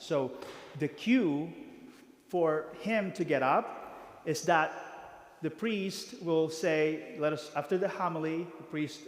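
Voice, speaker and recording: Halting man's voice in an echoing hall. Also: mid-range voice. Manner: hesitant, many fillers and restarts. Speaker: priest. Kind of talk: briefing volunteers at a parish meeting. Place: church hall. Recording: reverberant church hall.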